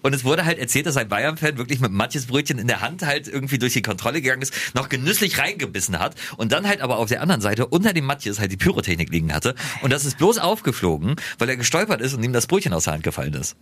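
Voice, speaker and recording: Fast-talking man telling a story. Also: medium pitch, no room sound. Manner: fast, animated storytelling, laughing often. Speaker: radio podcast co-host. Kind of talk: casual chat.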